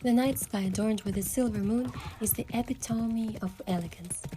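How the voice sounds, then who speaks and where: A woman playing a young romantic heroine, narrating her adventure in a soft, measured, wistful way for a game story. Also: fairly high pitch, close to an everyday voice. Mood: earnest, wistful. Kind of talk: scripted first-person story narration.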